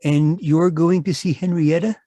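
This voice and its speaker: Give this radio mystery actor's part of a nervous, wronged husband who stammers and hesitates as he pleads. The man's voice sits fairly high, soft-edged and mellow, recorded dry. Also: fairly even intonation, moderate pace, no echo, close to an everyday voice. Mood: anxious. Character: anxious, wronged husband seeking his wife and fearing murder. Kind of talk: old-time radio mystery acting. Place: home, live video call performance.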